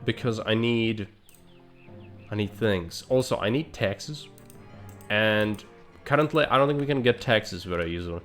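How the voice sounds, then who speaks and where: A man's voice: fairly low pitch, a little slow, pauses often, fairly bright tone, faint music behind. A man streaming live, thinking aloud in dry, blunt remarks as he plans a city build. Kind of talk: live gameplay commentary.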